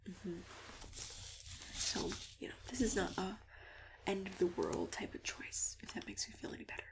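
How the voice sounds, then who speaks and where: A woman speaking softly and breathily, often near a whisper, in a gentle, reassuring ASMR roleplay as a friendly matchmaker. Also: mid-range voice, very animated, creaky. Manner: gentle, reassuring, unhurried customer-service patter.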